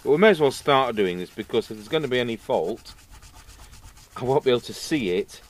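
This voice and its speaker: A man's voice: medium pitch, expressive, a little fast. A middle-aged man giving casual hands-on repair commentary, musing aloud to himself as he works. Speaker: radio repair video host. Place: indoor workbench, overhead camera.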